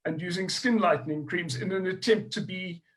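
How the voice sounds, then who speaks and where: A man's voice: high-pitched, normal speed, a bit bright. A middle-aged man with a South African accent, a historian speaking as a guest in a panel discussion, earnest and thoughtful, often restarting his phrases. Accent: South African English.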